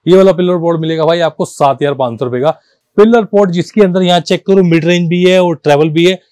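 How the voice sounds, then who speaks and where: A man gives a casual, persuasive sales pitch as a shop seller, often repeating himself and mixing languages informally. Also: fast talker, darkish tone, no room sound, clean studio sound. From India. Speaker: car accessories shop seller and presenter.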